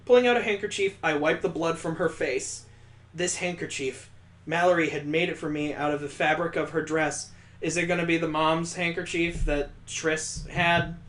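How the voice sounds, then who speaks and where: A man's voice: a little high, fairly even intonation, moderate pace, a bit bright, reverberant. A man reading a visual novel aloud, mixing dramatic narration with sarcastic comic asides. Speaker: let's play host.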